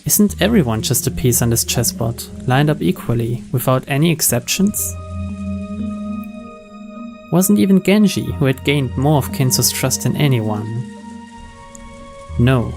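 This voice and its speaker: Male narrator, medium pitch, scripted story reading, visual novel, faint music and rain. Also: expressive, measured pace, vocal fry, long pauses. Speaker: narrator reading game text aloud.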